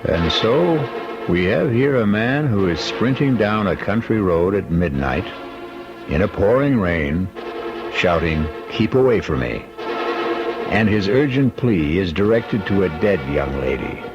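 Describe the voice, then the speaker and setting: A man narrating as the solemn, wry host of an old-time radio mystery, measured and ominous. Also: fairly low pitch, big pitch swings. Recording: dull old radio, music behind.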